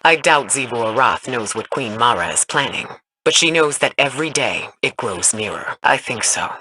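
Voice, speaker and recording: Thoughtful, courteous adviser, bright tone. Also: female voice, very deep voice, expressive, husky, no room sound, heavily acted, poor audio. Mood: thoughtful, concerned. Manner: measured, polite, analytical. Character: thoughtful, cautious, courteous scholarly adviser in space fantasy. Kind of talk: video game voice acting.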